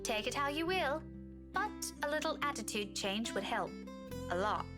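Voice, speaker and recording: Music runs clearly behind a high female voice. a caring young teacher in a video game, frank but gentle and coaxing. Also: sing-song, moderate pace. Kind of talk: visual novel game voice acting.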